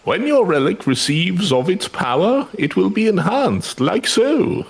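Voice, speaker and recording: Man putting on a grandiose, creaky fantasy game mystic. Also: sits high, very animated, unhurried, exaggerated voice. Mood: grandiose, solemn. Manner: theatrical, solemnly archaic incantation. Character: grandiose male mystic who enchants ancient relic weapons. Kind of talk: live voicing of fantasy game dialogue. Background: game music.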